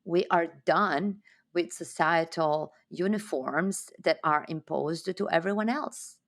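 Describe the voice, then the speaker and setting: A woman's voice: lowish voice, slow pace. A woman from Sardinia with an Italian accent, telling her story as an enthusiastic podcast guest. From Sardinia, Italy. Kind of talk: interview conversation.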